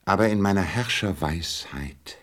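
A deep-voiced old king in a radio play. He is pompous yet kindly, swooping up and down as he hands out royal commands, sometimes faltering into stammers. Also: lively intonation, unhurried. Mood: pompous, commanding. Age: older.

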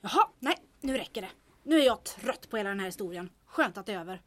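A woman playing a blunt, impatient young woman in an audio drama, with a husky voice and a dry, sardonic manner. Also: mid-range voice, lively intonation, a bit bright. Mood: weary, fed up. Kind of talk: serial audio drama acting.

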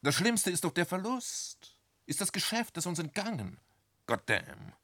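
Radio-play role: a halting, profit-minded businessman, lively pitch, dry recording. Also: dry recording, full character voice. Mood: calculating. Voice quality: hesitant, halting with fillers. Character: greedy English-speaking businessman, calculating. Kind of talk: classic radio drama acting.

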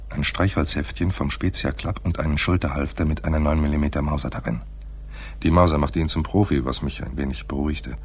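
Husky, very deep-voiced hard-boiled detective. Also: male voice, heavily acted. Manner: laconic, dry, terse. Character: hard-boiled male private detective narrating his own case. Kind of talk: noir radio play, first-person narration.

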